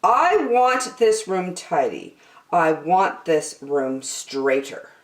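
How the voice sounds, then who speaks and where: A woman's voice: on the low side, expressive, slow. A woman voicing an exasperated mother in a storybook, scolding in emphatic, commanding rhyme. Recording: roomy, echoing sound.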